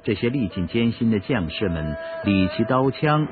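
A man's voice: lowish voice, lively intonation, moderate pace. A man narrating a radio drama as a dramatic storyteller, reading a scripted tale. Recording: muffled radio recording with music. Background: clear background music.

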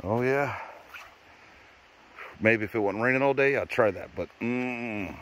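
A man's voice: mid-range voice, a little slow, a bit bright, dull audio, a little hiss or hum. A middle-aged man filming a hike on a forest trail, joking and cheering on his family as he talks to the camera. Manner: joking, encouraging, talks to the camera while hiking. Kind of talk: casual vlog chat.

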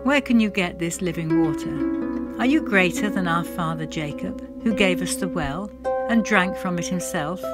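A woman's voice: lowish voice, normal speed. A woman reading a Gospel passage solemnly, as clergy in a church devotion. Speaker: clergy reader of scripture. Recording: prominent harp music under the voice. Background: plucked harp music.

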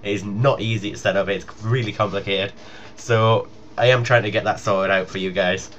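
A man's voice: fairly low pitch, fairly even intonation, moderate pace, fairly bright tone. A young man making a casual, rambling announcement to his viewers as a gaming YouTuber. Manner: informal, rambling. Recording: muffled lo-fi home recording with noise.

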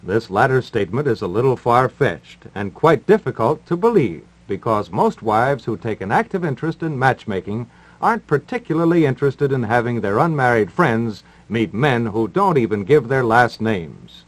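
A man hosting and announcing in 1950s radio style, wry and formal, with deadpan delivery. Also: medium pitch, normal speed, rough recording. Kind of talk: 1950s radio patter, mock-serious advice and live ad reads.

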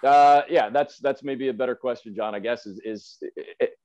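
A man's voice: mid-range voice, moderate pace, a little mellow. A man in his forties giving opinionated sports talk as a podcast guest, emphatic and fond of repeating phrases for effect. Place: indoor room at home, video call. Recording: slightly dull video-call audio.

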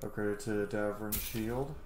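A man's voice: on the low side, steady tone, unhurried, darkish tone, a little hiss or hum. A man in his thirties giving casual commentary as he plays through a roleplaying game. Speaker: gaming streamer roleplaying a playthrough. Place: room at home, indoors. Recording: roomy, game music faintly behind.

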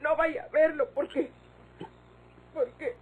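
Scared but fiery young mexican ranch girl, super high voice, slow, old radionovela. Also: steady tone. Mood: sobbing, shaken. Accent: rural Mexican. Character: frightened young rural Mexican woman, fiery when cornered. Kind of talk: golden-age Mexican radio drama acting. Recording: noisy old radio recording.

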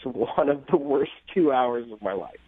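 A man's voice: mid-range voice, expressive, unhurried, phone-quality sound, crowd noise. Exasperated male radio phone-in guest, swooping intonation, casual comic storytelling. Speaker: phone-in guest recounting a bad date.

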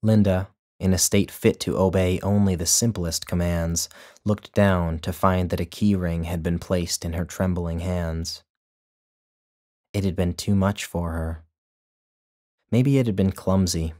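A man, the author, narrating his own literary short story in a measured, even way. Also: deep voice.

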